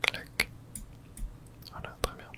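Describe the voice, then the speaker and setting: A whispering ASMR gamer of unclear sex. The delivery is unvoiced and close to the microphone, commenting on play step by step. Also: slow pace. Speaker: gaming ASMR streamer. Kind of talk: whispered ASMR gameplay commentary, reading game subtitles aloud.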